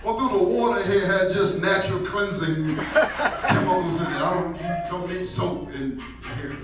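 A middle-aged man from Jamaica with a light Jamaican accent, doing an animated stand-up set on a small club stage and breaking into loud shouted bursts. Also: sits high, a little slow. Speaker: stand-up comedian. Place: small comedy club stage with a live audience. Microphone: handheld mic, filmed from the back of the room. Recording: echoey club room, distant camera mic, audience noise.

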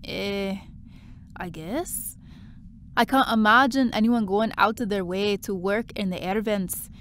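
A woman's voice: medium pitch, unhurried, a bit bright, a little hiss or hum, close to an everyday voice. A woman playing a breezy, blunt young part-time worker in an audio drama, chatty and offhand. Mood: chatty, hesitant.

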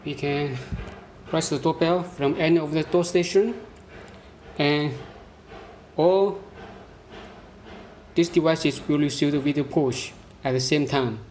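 A man demonstrating a product hands-on, speaking in short, halting phrases, recorded indoors on a handheld device. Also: a little high, steady tone, darkish tone, a little hiss or hum. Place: indoor room, beside a tabletop.

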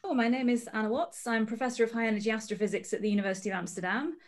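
A woman from Scotland and Yorkshire introducing herself briskly as a panelist on a video call. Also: on the high side, fairly even intonation. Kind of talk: self-introduction.

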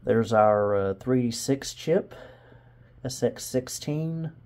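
Mellow-voiced man thinking aloud. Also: mid-range voice, animated, slow pace. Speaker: host of a vintage computer video channel. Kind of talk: casual hands-on commentary. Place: indoors in a home room.

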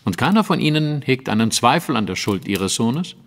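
An actor playing a composed, analytical detective in an audio drama, measured, articulate and probing. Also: male voice, mid-range voice, sing-song, gravelly, fairly bright tone, a little hiss or hum. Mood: cool probing. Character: composed, analytical male detective, sceptical and precise. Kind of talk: German audio drama acting.

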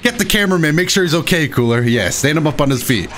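A man giving casual, excitable commentary while he plays a video game, with playful dramatic flourishes. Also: fairly high pitch, fast talker, slight background noise. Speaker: gaming video host and player. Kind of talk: casual live gameplay commentary.

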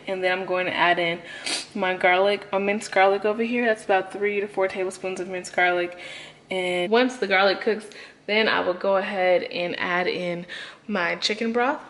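A woman's voice: medium pitch, a bit bright, a little hiss or hum. A young woman talking viewers through a recipe in her home kitchen, chatty, with plenty of fillers. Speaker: cooking vlogger. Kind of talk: casual cooking tutorial. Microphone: camera on the counter, close. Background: sizzling food and stirring.

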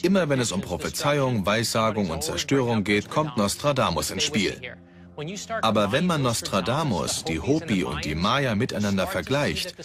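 A man's voice: lowish voice, sing-song, normal speed, crowd noise. A man voicing an assured prophecy commentator, explaining in a documentary dub.

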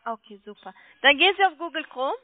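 High-voiced woman on a muffled phone line. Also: lively intonation, fast talker, dry recording. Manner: persistent, polite, coaxing with check-back questions. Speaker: telemarketing caller selling online trading. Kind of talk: sales pitch over the phone. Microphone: telephone call.

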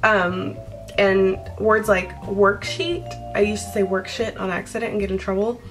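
A woman's voice: mid-range voice, level tone, measured pace, faint music behind, poor audio. A young woman from Brazil, Portuguese her first language, talking casually to her camera in a bedroom, rambling and laughing often. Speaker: vlogger. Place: bedroom by a window, indoors.

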